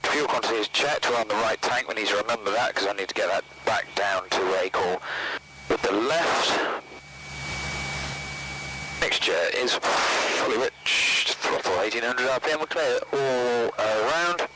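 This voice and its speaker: A man's voice: lowish voice, a little slow, loud music behind the voice, low-quality recording. A man piloting a small plane, thinking aloud through checklists in clipped, procedural phrases on a headset mic. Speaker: private pilot. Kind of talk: cockpit checklist talk while flying. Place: small aircraft cockpit. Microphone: aviation headset. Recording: loud light-aircraft engine and cockpit noise.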